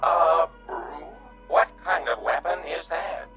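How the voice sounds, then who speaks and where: Timid, slow-spoken alien refugee. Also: male voice, a little high, sharp tone, faint music behind. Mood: frightened, pleading. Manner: formal, precise, stilted speech. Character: timid, polite alien refugee from another dimension. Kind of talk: radio drama acting.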